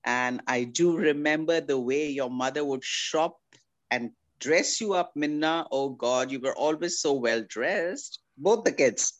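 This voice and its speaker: Podcast guest: affectionate woman in her sixties from India, talks at an unhurried pace. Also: deep voice. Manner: affectionate, calls people darling. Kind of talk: casual family interview.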